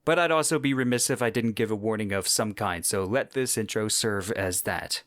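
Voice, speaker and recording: A man's voice: on the low side, moderate pace, fairly bright tone, no room sound, clean studio sound. A man hosting a podcast solo, talking casually with informal enthusiasm. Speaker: podcast host.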